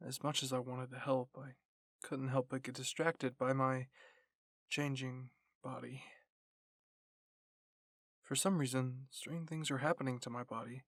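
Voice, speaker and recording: A man reading a scripted first-person story in ASMR style, softly and in measured phrases. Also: medium pitch, little pitch movement, no room sound. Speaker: narrator and reader.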